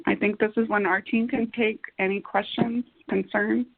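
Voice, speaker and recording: A woman presenting slides on a conference call, speaking in halting, piecemeal phrases. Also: medium pitch, steady tone, creaky, slightly rough. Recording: dull, lo-fi conference call audio.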